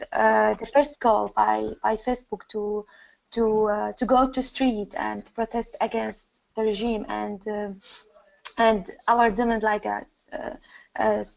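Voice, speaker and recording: A woman in her twenties from Syria with a Syrian Arabic accent, giving a personal account on a panel in halting, non-native English, often searching for words. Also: fairly high pitch, vocal fry, darkish tone, rough recording. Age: 20s. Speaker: activist and panelist.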